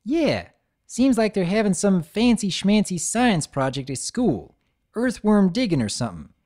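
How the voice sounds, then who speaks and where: A man's voice: sits high, very animated, measured pace, darkish tone, voice pushed up, exaggerated voice. A man voicing an honest, hardworking country pony mare with a Southern drawl and folksy, earnest manner.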